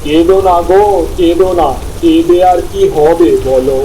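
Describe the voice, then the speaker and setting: A high, soft-toned cartoon farmer performed by a man, poor and full of sorrow. He speaks in a plaintive, melodramatic wail, a village father lamenting his hard lot. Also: normal speed, slight background noise, natural voice. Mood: consoling, subdued. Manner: plaintive, wailing delivery.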